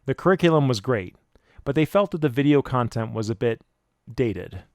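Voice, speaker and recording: A man's voice: mid-range voice, expressive, normal speed, no room sound. A man reading a scripted explainer narration about his production work. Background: background music.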